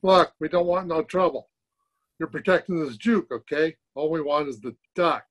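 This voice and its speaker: A man's voice: on the high side, moderate pace, close to an everyday voice. An actor playing a tough gunman in 1940s radio style, menacing and slangy. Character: tough male gunman gangster making demands.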